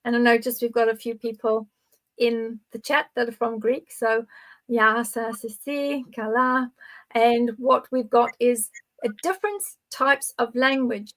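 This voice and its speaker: A woman's voice: fairly high pitch, fairly even intonation, measured pace, fairly bright tone, rough recording. An older woman in her sixties or beyond, talking conversationally to live viewers as a spiritual guide, explaining things in an encouraging way. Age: 60s or older. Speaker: podcast guide speaking on spiritual topics.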